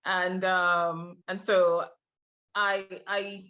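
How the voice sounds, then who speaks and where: A woman's voice: fairly low pitch, flat delivery, sharp tone. Hesitant woman in her thirties, muffled audio. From Trinidad. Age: 30s. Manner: hesitant, many filler pauses, laughs between thoughts. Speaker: podcast guest. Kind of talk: personal interview storytelling.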